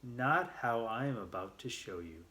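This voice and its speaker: A man's voice: mid-range voice, expressive, moderate pace, bright, forceful tone. A man voicing a confident, knowing male desert guide in a children's storybook read aloud. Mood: assured.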